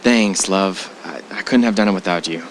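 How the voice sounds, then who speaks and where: A man's voice: low voice, moderate pace, lightly acted. Man acting a casual, reassuring young husband, faint noise. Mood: warm, eager. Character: young husband and travel podcaster, affectionate, calmly reassuring. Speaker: actor in an amateur radio drama. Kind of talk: naturalistic audio drama dialogue.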